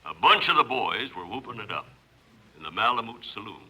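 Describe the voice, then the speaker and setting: A dramatic ballad narrator, reciting frontier verse in old radio style. The voice is husky yet forceful, with lively pitch. Faint music plays behind. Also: male voice, a little fast, heavily acted, low-quality recording.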